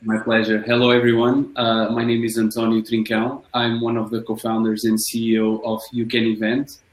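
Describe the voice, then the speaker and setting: A man from Portugal, introducing himself as a panelist in an even, pitch-like way. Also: fairly quick. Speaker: panelist, company founder. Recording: roomy echo.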